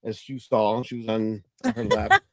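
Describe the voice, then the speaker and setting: A man co-hosting a casual live chat, easygoing and quick with short interjections. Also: mid-range voice, sing-song, gravelly, fairly bright tone. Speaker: livestream co-host.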